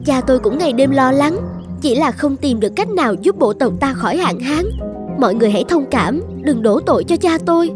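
An earnest young chief's daughter in a cartoon folk tale. Her voice is high and her manner gentle, dutiful and pleading, over clearly heard music. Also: lively intonation, brisk. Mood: pleading, defensive. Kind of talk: Vietnamese cartoon fairy-tale dubbing.

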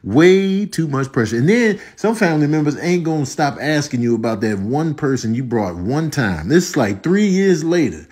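A man's voice: fairly high pitch, big pitch swings, normal speed, few pauses, darkish tone, husky. A man with an American accent giving a casual comedic opinion monologue in conversational slang, repeating phrases for emphasis. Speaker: comedic video commentator.

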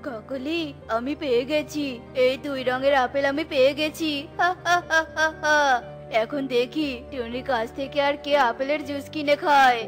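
A woman's voice: sits high, moderate pace, a bit bright. A woman voicing a sly, scheming male crow in a children's cartoon, with a theatrical, boastful delivery. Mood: gloating. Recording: faint music under the voice.

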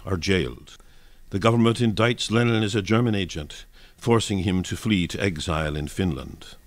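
A man's voice: deep voice, dry recording. A man narrating scripted history as a dramatic reading for a radio broadcast. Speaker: reader, narrator.

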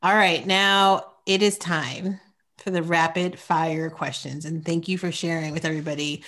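A woman in her forties hosting a podcast interview, upbeat and encouraging, quick to praise her guests. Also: low voice, steady tone, a bit bright. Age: 40s. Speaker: podcast host. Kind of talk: casual interview chat.

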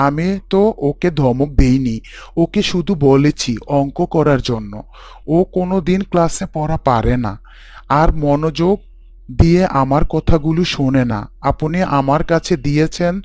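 Man as a fatherly, higher-voiced schoolteacher. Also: darkish tone, no echo, close to an everyday voice. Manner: fatherly, pleading. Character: kindly male schoolteacher, meek when threatened.

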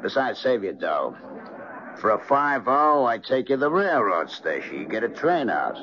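Haggling hack driver, brash, streetwise slang, mid-pitched, vintage radio play, lo-fi. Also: male voice, very animated, normal speed, bright, forceful tone. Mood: brash, casual. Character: brash, haggling working-class male cab driver. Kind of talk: 1970s radio drama acting. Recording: dull restored radio broadcast.

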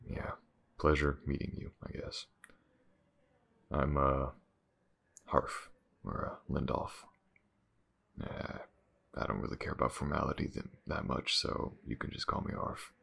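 A man playing a gruff, weary, aloof detective in an audio roleplay, speaking haltingly and informally to the listener in a husky mutter. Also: flat delivery, a bit bright, heavily acted. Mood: weary, reluctant. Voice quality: low, gravelly, husky. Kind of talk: intimate audio roleplay acting, addressed to the listener.

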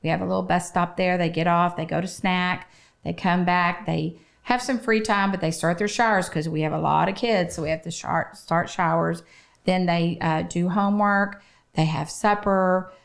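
Low, gravelly middle-aged woman. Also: fairly even intonation, normal speed. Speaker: children's shelter representative, podcast guest. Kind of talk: conversational interview answers. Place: small podcast studio, indoors. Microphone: close studio microphone on boom arm.

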